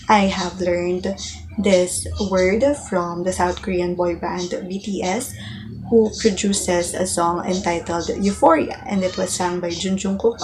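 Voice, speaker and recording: Unhurried woman, 20s, articulate interviewee, faint noise behind. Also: on the low side, poor audio. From Philippines. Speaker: interviewee, college student. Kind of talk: documentary interview answers.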